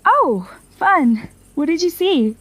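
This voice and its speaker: A woman's voice: very high voice, very animated, takes it slowly, fairly bright tone, heavily acted. A young woman playing an apologetic, eager college student in an audio drama, speaking with a hesitant, friendly manner. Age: young adult. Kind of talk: naturalistic audio drama acting.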